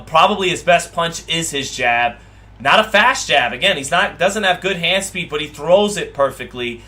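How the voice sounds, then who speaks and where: A man's voice: fairly high pitch, bright, forceful tone. A man hosting a live boxing watch party, reading viewer comments aloud and rambling, with frequent repeats and restarts.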